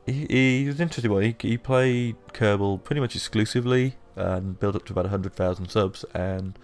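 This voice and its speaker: A young man with a sore, croaky throat, rambling casually through let's-play commentary with lots of fillers. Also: lowish voice, fairly quick, darkish tone, soft background music. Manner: rambling, self-deprecating, with many fillers. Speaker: gaming YouTuber.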